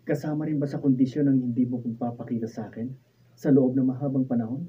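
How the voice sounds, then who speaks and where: A man's voice: medium pitch, steady tone, quick pace, mellow, lightly acted. An actor playing an earnest, humble, lovelorn young male student in a Filipino radio drama. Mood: tender. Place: radio drama recording studio, behind-the-scenes video. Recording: lo-fi phone-like sound, distant mic, faint noise.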